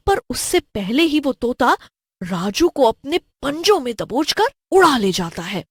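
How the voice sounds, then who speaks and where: A woman's voice: on the high side, dry recording, studio quality. A woman narrating a scripted horror story as a storyteller.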